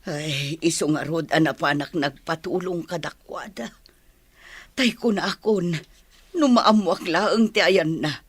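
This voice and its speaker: A woman playing an anxious, determined mother searching for her child in an Ilocano radio drama, with a husky, breathy voice. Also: lowish voice, a little slow, dry recording.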